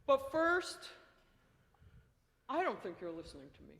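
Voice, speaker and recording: A woman puts on a sleepy monster puppet with a high, gentle voice. It talks patiently in a live skit, very slowly, and the sound rings long in a big hall. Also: very animated, gravelly, heavily acted. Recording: echoey hall with long reverb.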